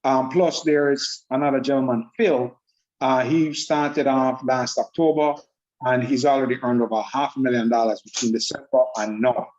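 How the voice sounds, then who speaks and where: A man's voice: mid-range voice, fairly even intonation, moderate pace, darkish tone, muffled recording. A man presenting a trading system on an online group call, upbeat and laughing often, with rambling restarts. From Jamaica.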